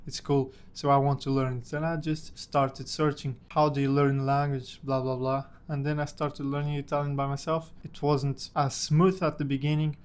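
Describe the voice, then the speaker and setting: A man's voice: mid-range voice, level tone, normal speed, hardly any pauses, clear, resonant tone. A young man from Portugal, a native Portuguese speaker, casually telling a personal story about himself in English. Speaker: language-learning content creator.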